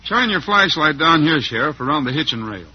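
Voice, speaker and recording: An actor playing a steady, fair-minded cowboy hero in an old-time western radio drama. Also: male voice, on the high side, fairly quick, music in the background, close to an everyday voice. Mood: determined, reasoning. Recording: muffled vintage radio broadcast.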